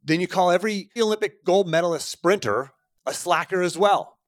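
A man's voice: sits high, moderate pace, no room sound. Man, forties, motivational podcast host, earnest, speaks straight to the listener, dry close sound. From Pittsburgh, USA. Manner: earnest, coaching, addresses listener directly. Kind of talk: motivational monologue.

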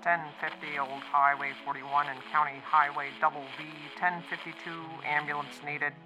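A woman voicing a flat, businesslike emergency dispatcher, heard through a crackly radio. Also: deep voice, normal speed, music in the background. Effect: crackly radio filter.

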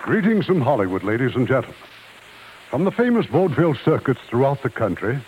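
A man's voice: mid-range voice, darkish tone, rough recording. A man speaking as the host and producer of a 1950s radio theatre, introducing the evening's play in scripted announcer style. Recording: hissy old 1950s radio broadcast.

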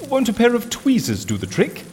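A man playing a brisk, exasperated organiser in an audio drama comedy. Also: extremely high pitch, huge pitch swings, a little mellow, in a large hall, a little hiss or hum, full character voice.

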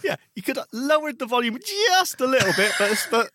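Male co-host, high lively voice, slightly stammering, chatty and giggly. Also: quick pace, heavy background noise. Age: older. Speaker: show co-host. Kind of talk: casual chat.

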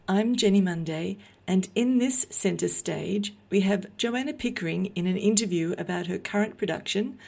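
A woman host reads a scripted introduction at a measured, steady pace. A touch of vocal fry runs through her mid-pitched voice. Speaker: podcast host.

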